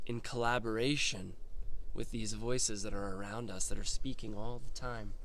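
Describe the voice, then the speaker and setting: A man hosting a reflective spoken musing, who reads a poem in a slow, contemplative way with long pauses. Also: lowish voice, steady tone, fairly bright tone. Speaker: host.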